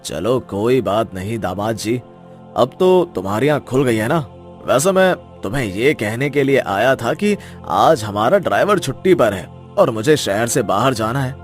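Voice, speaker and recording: A man acts out a wealthy middle-aged father-in-law in a moral story. He is haughty and controlling, with a medium-pitched voice, and his politeness is a thin cover for condescension. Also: expressive, moderate pace, soft background music, close to an everyday voice. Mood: sarcastic, condescending. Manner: condescending, mock-polite, commanding. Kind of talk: dramatized Hindi moral story.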